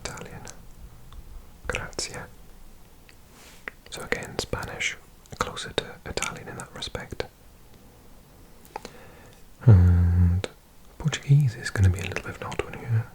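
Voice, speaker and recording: Language tutor for ASMR: hushed breathy whisper, very unhurried delivery, gentle repetition of vocabulary. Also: low voice, expressive, rough recording. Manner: softly repeating words, unhurried. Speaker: language teacher.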